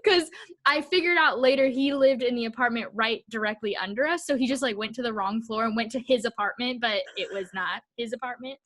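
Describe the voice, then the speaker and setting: A woman's voice: fairly high pitch, fairly quick, fairly bright tone. A young woman co-hosting a podcast, telling stories casually and animatedly, peppering her speech with "like" and acting out dialogue. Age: young adult. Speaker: podcast co-host. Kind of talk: casual chatty storytelling.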